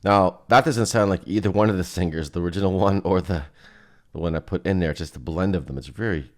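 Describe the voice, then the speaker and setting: A man giving a casual software walkthrough as presenter, thinking aloud in an informal way. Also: low-pitched, fast talker. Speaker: presenter demonstrating music software.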